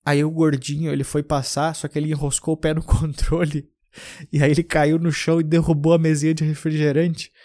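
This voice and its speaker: A man's voice: fairly high pitch, flat delivery, fairly quick, warm tone. A young man from southern Brazil hosting a podcast, telling loose, rambling anecdotes in casual chat with frequent restarts. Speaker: podcast host.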